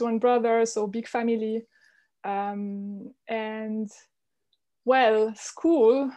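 A woman's voice: a little high, darkish tone. A woman from Switzerland speaking as an interview guest, telling her own story in a candid, reflective way. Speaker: podcast guest, entrepreneur. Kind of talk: conversational interview.

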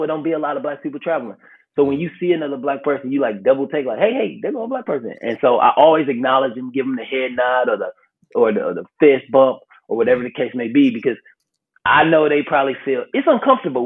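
An American man telling stories casually as a guest, slangy and animated in the way he acts out little exclamations. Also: mid-range voice, breathy edge. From Milwaukee, United States. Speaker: podcast guest, travel series host. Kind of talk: casual conversational storytelling. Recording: thin phone-like call audio.

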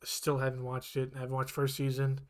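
A man's voice: mid-range voice, fairly quick. A man co-hosting a podcast, chatting casually and rambling with frequent restarts. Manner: rambling, self-correcting, even-toned. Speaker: podcast co-host. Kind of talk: casual chat.

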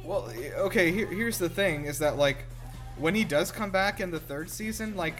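A man's voice: sits high, expressive, normal speed. A man hosting a casual movie reaction show, chatty, informal and enthusiastic. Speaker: podcast host. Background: film music playing.

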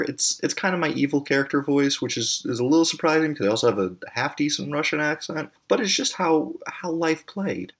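A man's voice: medium pitch, a bit bright. A man hosting a podcast, talking in a rambling, enthusiastic monologue. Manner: rambling, enthusiastic, many fillers. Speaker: podcast host.

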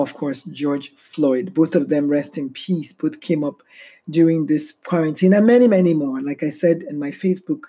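A middle-aged woman hosting a solo podcast, speaking slowly and heavily with a low, barely audible voice. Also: mellow, breathy edge. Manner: slow, heavy-hearted and reflective. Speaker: podcast host.

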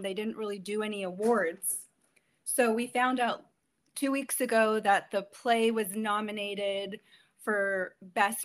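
A woman's voice: mid-range voice, a bit bright. A woman in her thirties from Ontario, Canada, a guest actress chatting in an interview, telling stories and laughing often. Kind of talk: casual interview chat.

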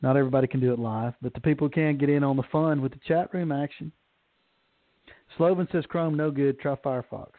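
A man's voice: medium pitch, steady tone, fast talker, no echo. A man from Alabama with a Southern accent, hosting a radio show in a folksy, joking way. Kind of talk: casual radio chat. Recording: tinny phone line.

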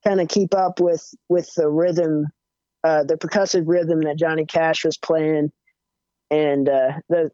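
A young man with a higher-pitched voice, chatting casually as a musician guest with lots of fillers and restarts. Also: clean tone, no room sound. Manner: relaxed, rambling, many fillers and restarts. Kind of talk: casual interview chat. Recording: dull, muffled audio.

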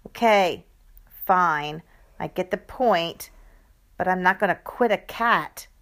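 A woman's voice: on the low side, slow, fairly bright tone. A woman voicing a teenage sister with a fake sweet, whiny way of asking.

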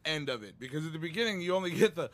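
A man's voice: a little high, lively intonation, very fast, very noisy. A man co-hosting a podcast who explains things step by step and drops dry jokes in casual chat with friends. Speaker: podcast co-host.

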